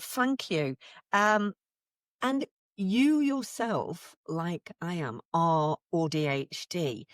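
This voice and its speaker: Animated woman podcast host. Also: on the low side, dry recording, studio quality. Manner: enthusiastic, emphatic agreement. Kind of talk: conversational interview.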